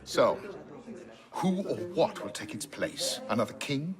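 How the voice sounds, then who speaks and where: An actor playing a measured, probing political debater in a video game. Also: male voice, medium pitch, very animated. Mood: challenging. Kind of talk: video game cutscene acting. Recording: crowd clearly behind the voice.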